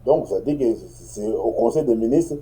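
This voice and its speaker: A man's voice: mid-range voice, expressive, moderate pace, warm tone. A man, a guest on a political talk show, explaining at length and often checking that he is understood. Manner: explanatory, repeats phrases, checks in with "tu comprends?". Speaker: guest commentator.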